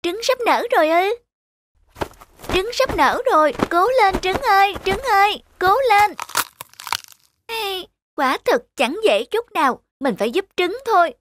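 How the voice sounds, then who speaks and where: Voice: very high-pitched, big pitch swings, a little slow, background noise, full character voice. An actor voicing an eager, kind young pupil in a children's cartoon fairy tale, earnest and exclamatory. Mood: excited, urging. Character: eager, kind young magical pupil guarding bird eggs.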